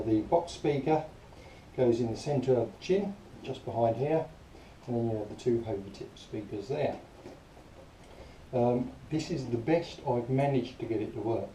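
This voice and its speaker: A man's voice: mid-range voice, dark tone. A man giving a casual, plain-spoken and disgruntled product review to camera. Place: small enclosed room indoors. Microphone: camera mic some distance away. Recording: roomy, distant camera mic, faint noise.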